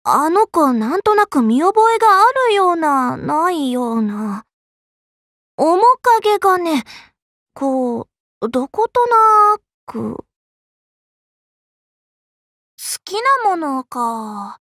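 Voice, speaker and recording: A woman voicing a cheerful, bossy, scatterbrained young female Buddhist monk in an anime game, boastful and childlike in an expressive, exclamatory way. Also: high-pitched, slow, no echo, clean studio sound, heavily acted. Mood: curious, then hesitant, flustered. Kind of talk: Japanese anime game character voice lines.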